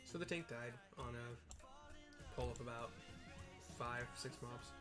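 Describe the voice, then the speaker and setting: A man's voice: medium pitch, a little slow. A man giving a casual, rambling, mildly sweary play-by-play of a game, describing the scenes as he goes. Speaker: gamer narrating a playthrough. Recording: game music behind the voice. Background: video game music.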